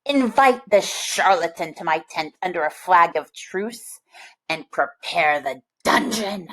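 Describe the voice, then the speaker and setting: A woman's voice: lowish voice, expressive, measured pace, a bit bright, low-quality recording. Woman putting on a raging, scheming storybook king, swooping. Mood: imperious anger. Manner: imperious, dramatic.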